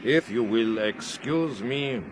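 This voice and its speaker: An actor playing a sinister, smooth doctor in a radio drama, speaking with measured, courteous menace. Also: male voice, medium pitch, close to an everyday voice. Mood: coolly polite. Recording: clear background train noise.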